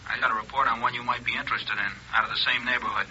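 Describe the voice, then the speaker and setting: An actor playing a terse, businesslike burglary detective speaking through a telephone filter in a 1950s radio drama. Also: male voice, medium pitch, fairly even intonation, very fast, bright, forceful tone. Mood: brisk, businesslike. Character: brisk male burglary detective on the phone. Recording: dull, faintly noisy old radio recording.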